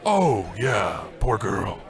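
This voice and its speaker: An actor playing a shifty, nervous, vain dive-bar bartender with a halting, evasive way of talking, in an old-style radio comedy. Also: male voice, lowish voice, sing-song, crowd noise, full character voice. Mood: dry.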